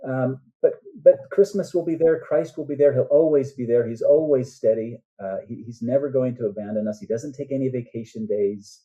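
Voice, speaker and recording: A man's voice: mid-range voice, fairly quick, hardly any pauses, dark tone, dull audio. An older man chatting casually as a guest author, rambling a little and often checking in with "right?". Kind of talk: casual interview chat.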